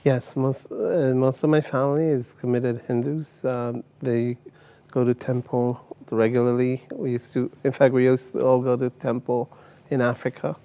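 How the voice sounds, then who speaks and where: A man in his sixties from Uganda and later England, telling his personal story as an interview guest in a halting way, often repeating words. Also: mid-range voice, level tone, dark tone. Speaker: testimony guest.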